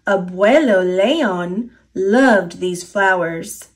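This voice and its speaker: A woman with an American accent voicing a calm, reassuring father in a storybook, soothing and measured. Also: mid-range voice.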